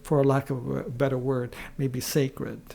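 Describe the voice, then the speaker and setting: A man giving an unscripted, reflective monologue in a halting, searching way with frequent pauses. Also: mid-range voice, flat delivery, creaky, warm tone, a little hiss or hum. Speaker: online video creator.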